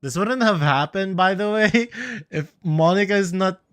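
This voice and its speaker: A man's voice: sits high, a little slow, fairly bright tone, smooth. A man livestreaming a video game with casual, slangy, heckling commentary.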